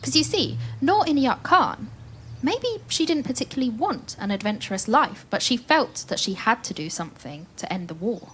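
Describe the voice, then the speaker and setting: A high-pitched female storyteller narrates an audio play with dramatic expression. She speaks directly to her listeners in an inviting, questioning way. There is clear noise and clicking behind her. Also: expressive, normal speed, fairly bright tone. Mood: inviting, reflective. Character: storyteller woman, calm yet dramatic, playing a brave spy.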